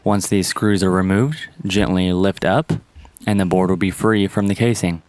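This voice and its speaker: A man's voice: low voice, steady tone, normal speed, darkish tone, smooth, no echo. A man narrating a step-by-step repair tutorial in a plain, instructional manner. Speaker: how-to presenter.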